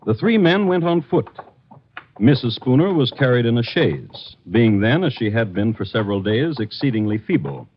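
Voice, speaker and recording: A man narrating a radio story in a wry manner, with dramatic pauses. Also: mid-range voice, animated, a little hiss or hum. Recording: dull, lo-fi old radio recording.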